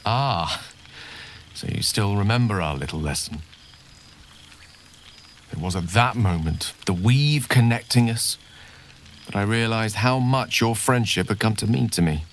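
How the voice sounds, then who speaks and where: An actor playing a genial, erudite wizard professor in a video game, eloquent, wordy and a little pleased with himself. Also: male voice, fairly low pitch, fairly bright tone, a little hiss or hum. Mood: amused, wry, then fond, nostalgic. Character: genial, erudite male wizard professor, self-satisfied yet warm-hearted.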